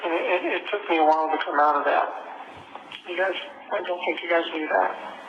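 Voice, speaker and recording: A man talking haltingly and casually as an inmate on a private telephone call. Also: fairly high pitch, over a noisy crowd. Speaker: jail inmate on a phone call. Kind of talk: casual private phone conversation. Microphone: telephone handset. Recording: noisy jail phone line recording.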